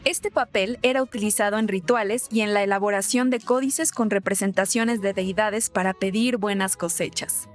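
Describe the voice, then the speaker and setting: A woman's voice: medium pitch, steady tone, normal speed, hardly any pauses. Woman narrating a documentary over music. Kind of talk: scripted narration.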